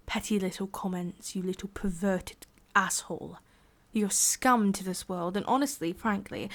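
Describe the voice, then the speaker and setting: A woman's voice: medium pitch, vocal fry. A woman with an English accent playing a sadistic, condescending boss in an ASMR roleplay, whispery and menacing. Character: sadistic, domineering female boss scolding an employee.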